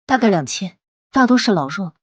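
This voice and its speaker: A woman voicing an earnest, worried resistance fighter in an animated comic drama, speaking expressively and with urgency. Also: mid-range voice, fairly quick, husky, no echo. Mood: worried, urgent. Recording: faint music behind the voice. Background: music.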